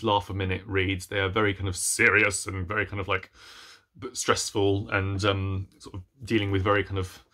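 A man's voice: on the low side, fairly bright tone. A young adult man chatting casually to camera as a book reviewer, in a hesitant, self-deprecating way. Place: small room at home, indoors.

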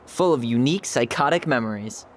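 A man's voice: medium pitch, animated, brisk, slight background noise, natural voice. An actor playing a wry, nostalgic young American man in naturalistic, casual video game dialogue. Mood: wry, teasing. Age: young adult.

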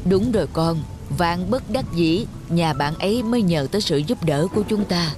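A woman voicing a patient, wise village father and teacher in a moral cartoon, speaking in a measured, instructive way. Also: on the low side, lively intonation, natural voice. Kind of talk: cartoon dialogue, moral storytelling. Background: music.

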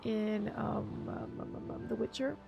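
A woman's voice: medium pitch, steady tone, measured pace, creaky, dull audio, music in the background. A young woman streaming a game, chatting casually, greeting viewers and reading chat aloud with many ums. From half English, half Bruneian. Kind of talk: casual live-stream chat while gaming.

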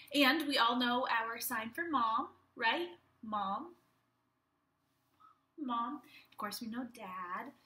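A young woman teacher reading a storybook aloud to young children in an animated, expressive way, speaking to them directly. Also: a little high, drawn-out words, pauses often, slight background noise. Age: young adult. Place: small room at home. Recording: roomy, echoey home webcam sound.

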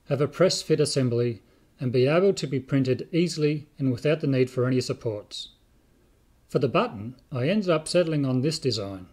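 An older man narrates a scripted how-to in a measured, methodical way. His voice is mid-pitched and a little husky. He explains things step by step. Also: darkish tone. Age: older, about 60. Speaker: video host, 3D print designer.

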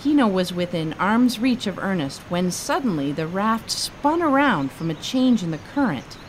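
A woman author reading her children's book aloud in an expressive storytelling style. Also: mid-range voice, moderate pace, slight background noise, rough recording.

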